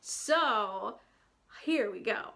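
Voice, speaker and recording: A woman with a high voice leads a children's story time. She takes her time, with a very slow delivery. Her manner is playful and she speaks straight to her young listeners. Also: animated, gravelly, bright, forceful tone. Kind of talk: picture-book story time with read-alouds and sing-along.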